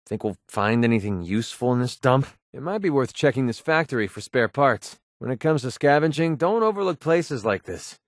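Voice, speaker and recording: A man's voice: medium pitch, expressive, brisk, hardly any pauses, dry recording, clean studio sound, close to an everyday voice. A man playing a cynical, wisecracking young mercenary sniper in a video game, speaking in an offhand, grumbling, sardonic way. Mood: wry, wary. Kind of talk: video game companion dialogue.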